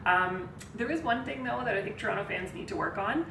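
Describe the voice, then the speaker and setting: A woman in her thirties hosting a casual chat from a living-room couch, relaxed and quick to laugh. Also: mid-range voice, creaky. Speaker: host. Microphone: far camera mic. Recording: roomy, distant, hissy.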